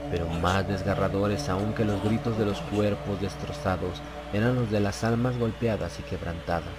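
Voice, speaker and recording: A man narrating a dramatized horror audiobook in a solemn, measured, dramatic way. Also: low voice. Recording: loud music behind the voice.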